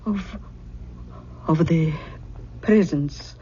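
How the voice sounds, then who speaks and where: An actress playing an affectionate, folksy older country aunt in a 1970s radio drama. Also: female voice, sits low, dark tone. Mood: grave, concerned. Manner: folksy, old-fashioned phrasing, unhurried. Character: affectionate, homely old Scottish country aunt. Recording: muffled, hissy old radio recording.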